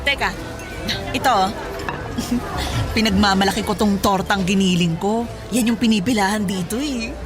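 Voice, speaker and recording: A woman's voice: mid-range voice, moderate pace, pauses often, over music, lightly acted. An actress playing a young, perky, proud female eatery owner in a Tagalog radio drama. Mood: excited, proud.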